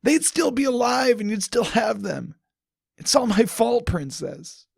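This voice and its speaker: A man's voice: very high-pitched, sing-song, unhurried, gravelly, breathy edge, dry recording, clean studio sound, pitched above the performer's own voice, exaggerated voice. A man voicing an anguished, guilt-ridden man in an audiobook, screaming his self-blame in tearful outbursts. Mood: anguished rage. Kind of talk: dramatic audiobook character voice.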